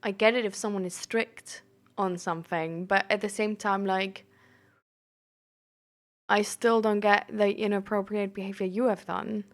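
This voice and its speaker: A young woman chatting casually on a live stream, full of fillers and quick reactions to chat. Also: medium pitch, fairly even intonation. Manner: chatty, filler-heavy, reacts aloud to chat with surprise and laughter. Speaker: streamer and peer support coach.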